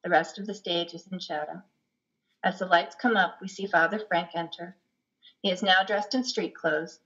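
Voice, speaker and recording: A woman's voice: fairly low pitch, fairly even intonation, normal speed, a bit bright. A middle-aged woman reading stage directions as a scripted narration. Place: room at home. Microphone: video call, computer microphone. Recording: dull video call audio.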